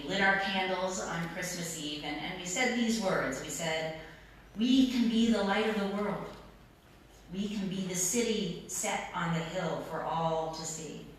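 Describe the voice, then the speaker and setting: Echoey low-voiced woman pastor preaching. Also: a bit bright. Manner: measured phrasing with deliberate repetition. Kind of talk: sermon. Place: church sanctuary. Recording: echoey church, distant mic, faint noise.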